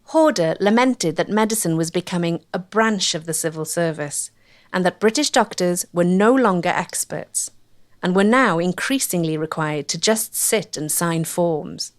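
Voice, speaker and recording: A woman's voice: lowish voice, lively intonation, moderate pace. A woman delivering scripted documentary narration.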